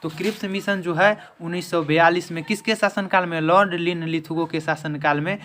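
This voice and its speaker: A man's voice: fairly high pitch, fairly even intonation, moderate pace, fairly bright tone. A man teaching an exam-prep lesson in question-and-answer form, emphatically repeating each answer.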